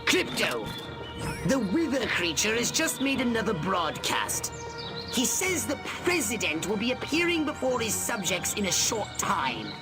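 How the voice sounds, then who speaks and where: A man voicing a pompous, excitable, scheming alien commander in a video game, sardonic and theatrical, with a shrill nasal voice. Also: unhurried, full character voice. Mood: smug, sardonic. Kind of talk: video game cutscene acting. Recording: loud music under the voice.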